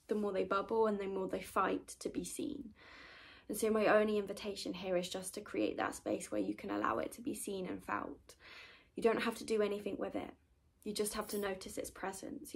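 Female meditation teacher, mid-pitched with noticeable fry, soft and soothing, often near a whisper. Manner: gentle, soothing, often trailing into breathy whispers. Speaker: meditation guide. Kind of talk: guided meditation, soft-spoken and unhurried.

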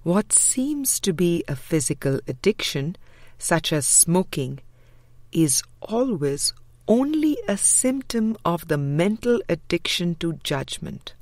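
A woman reading a spiritual audiobook with measured, deliberate phrasing. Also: fairly low pitch, sing-song, a little mellow, no echo. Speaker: audiobook reader.

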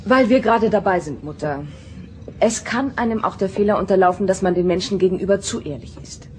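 An actress playing a composed, dutiful young alien daughter in a sci-fi audio drama, earnest and controlled. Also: female voice, mid-range voice, fairly quick, darkish tone, slight background noise, natural voice. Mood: earnest, restrained.

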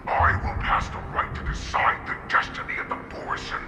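An actor playing a menacing, hulking male wolf-beast warlord in a game cutscene, speaking slowly and persuasively. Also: over music, close to an everyday voice. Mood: tempting, menacing. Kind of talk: game voice acting. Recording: roomy sound under loud orchestral score.

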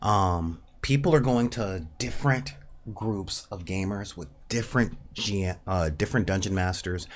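Unhurried, nasal man in his forties, low-pitched, talking casually into a desk mic. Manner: unhurried, thoughtful, with many pauses and fillers. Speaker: livestream host. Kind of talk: casual livestream talk about tabletop gaming. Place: small home studio with a neon backdrop. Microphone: studio mic on a desk stand.

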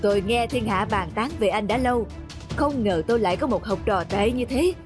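A man playing a stern, wise elderly scholar in a cartoon folk tale, admonishing in a measured way. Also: high-pitched, music in the background, natural voice. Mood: stern reproach. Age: older.

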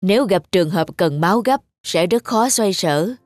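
A woman's voice: mid-range voice, animated, normal speed, darkish tone, voice pushed down, lightly acted. A woman voicing a serious male doctor in a cartoon, frank and cautious as he speaks. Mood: grave, concerned.

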